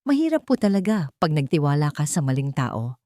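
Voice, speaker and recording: A woman's voice: deep voice, big pitch swings, fairly quick, warm tone, no room sound, studio quality. Woman playing a modest, polite young former housemaid. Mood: polite, guarded. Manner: polite, reserved.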